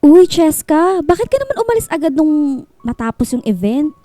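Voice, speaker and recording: A woman's voice: high voice, sing-song, moderate pace, mellow, dry recording, soft background music. A woman playing a smitten, teasing, naive young woman with a Filipino accent in a radio drama.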